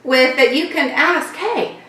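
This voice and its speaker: A woman from Florida with a light Southern accent, hosting a casual viewer Q&A vlog and rambling warmly through her answers. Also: high voice, expressive, slow pace, recorded from a distance. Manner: chatty, repeats thanks, loose rambling sentences. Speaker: channel host answering viewer questions. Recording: echoey room, voice a bit off-mic.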